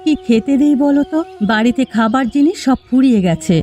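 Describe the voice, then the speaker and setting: A woman's voice: high-pitched, animated, brisk, warm tone, faint music behind. A woman voicing a lamenting poor farmer's wife. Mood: weary. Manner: lamenting, complaining. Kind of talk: cartoon dubbing.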